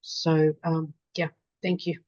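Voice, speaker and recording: A woman's voice: sits low, little pitch movement, slow, a little mellow, dull audio. A woman with a South African accent speaking earnestly as a patient advocate on a webinar panel, with many fillers and restarts.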